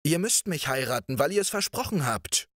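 A man voicing a witty, ugly young prince who pleads emphatically with a high, lively voice. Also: moderate pace, no room sound, studio quality. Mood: eager.

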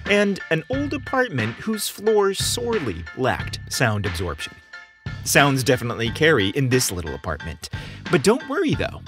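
A man hosting and narrating a scripted story podcast in a theatrical, expressive storytelling style. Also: mid-range voice. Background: music behind the voice.

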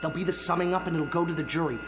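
An earnest, exasperated defense attorney , acted by a man pleads his point in a radio drama. His voice is fairly high and rings in a reverberant space, with faint music behind. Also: very fast, close to an everyday voice. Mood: urgent, firm.

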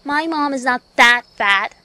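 A woman's voice: high voice, unhurried. A woman voicing a sassy, sarcastic teenage girl in a cartoon parody, with a snarky manner. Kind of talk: fan parody cartoon dub.

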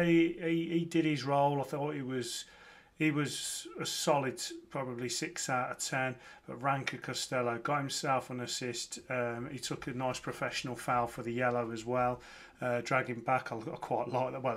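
A man's voice: medium pitch, a bit bright. A man in his thirties from Lancashire with a Birmingham accent, giving a casual, enthusiastic football match reaction from home. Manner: chatty, enthusiastic, frequent fillers and restarts. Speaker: football podcast host. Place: home room with framed shirts, indoors.

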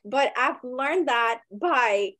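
A woman's voice: on the high side, animated, drawn-out words, fairly bright tone. A young woman with a light Cuban accent, a guest broker talking casually and expressively on a video call from home. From Cuba.

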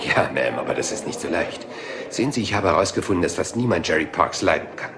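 Ein zerknautschter, beharrlicher Polizeiinspektor, der sich höflich und umständlich vortastet. Er redet rasch, mit tiefer Stimme und viel Auf und Ab in der Melodie. Im Hintergrund liegt ein deutliches Rauschen der TV-Tonspur. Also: male voice, low voice, animated, fast, a bit bright, a little hiss or hum. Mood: probing. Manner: meandering, polite, keeps asking one more question. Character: rumpled, humble, persistent police inspector. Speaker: police inspector. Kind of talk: German dub of a TV crime drama.

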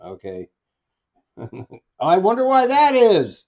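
A man's voice: sits high, huge pitch swings, takes it slowly, darkish tone. A scornful man talks over a meeting he is watching. He mocks what he hears and says he can't make sense of it. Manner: scornful, mocking, swears, laughs derisively. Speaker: commentator mocking a recorded meeting. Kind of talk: sarcastic running commentary.

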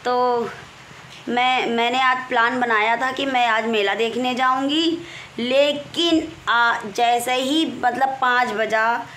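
A hoarse woman in her forties with a Kanpur Hindi accent, voice fairly high and husky, talks on and on into a phone held close. She is in a small room at home and clears her throat often, with faint noise behind her. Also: slow pace, fairly bright tone. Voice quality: husky, strained voice. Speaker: vlogger. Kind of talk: casual home vlog. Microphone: phone camera held close, selfie framing.

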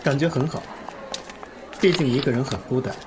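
A man's voice: medium pitch, fairly quick, background noise, lightly acted. A man playing a proud, vain young pop star with a haughty manner in an audio drama. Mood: smooth, diplomatic. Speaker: voice actor in fan audio drama. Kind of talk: radio drama acting. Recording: music under the voice.

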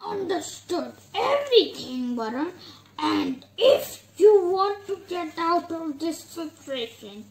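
A woman voicing a wise, calm puppet friend who gives instructions in a measured manner. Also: very high-pitched, heavily acted, low-quality recording. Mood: calm, advising.

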